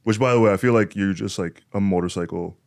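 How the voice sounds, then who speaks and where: A man hosting a podcast, chatting in a relaxed, rambling way with many fillers. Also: fairly low pitch, fast, creaky, no room sound, studio quality. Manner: laid-back, filler-heavy, rambling. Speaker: podcast host. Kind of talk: casual chat.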